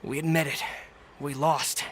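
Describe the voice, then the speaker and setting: A man's voice: fairly high pitch, flat delivery, a little slow, fairly bright tone, slight background noise. An actor voicing a resentful, hot-tempered male gang thug in a video game, grudging in manner. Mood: bitter, defeated.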